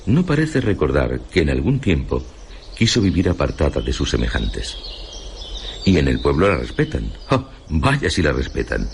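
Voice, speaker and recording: Very deep, solemn Spanish storyteller. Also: male voice, darkish tone, a little hiss or hum, heavily acted. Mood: grave, emphatic. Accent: Spain. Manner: solemn, dramatic, literary delivery. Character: grave older male storyteller recounting a tragic village tale. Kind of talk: Spanish radio drama storytelling.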